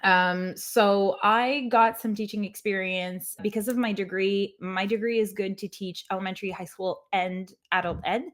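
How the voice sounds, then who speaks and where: A woman's voice: medium pitch, fairly even intonation, moderate pace, few pauses, fairly bright tone. A woman in her thirties from Quebec whose first language is French, hosting a teaching webinar in a chatty, self-deprecating way, laughing often and filling with "like". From Quebec, Canada. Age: 30s. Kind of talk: casual webinar talk.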